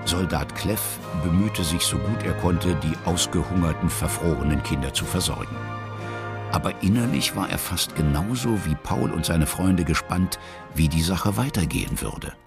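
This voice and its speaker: A man's voice: expressive, moderate pace. A man narrating a historical children's adventure as scripted radio drama storytelling. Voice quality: very deep, gravelly. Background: music.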